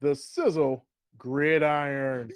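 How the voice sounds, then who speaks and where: A man's voice: fairly high pitch, fairly even intonation, takes it slowly, smooth, dry recording, studio quality. A man hosting a sports talk show with upbeat fantasy football banter, playful and fond of repeated phrases and rhetorical questions.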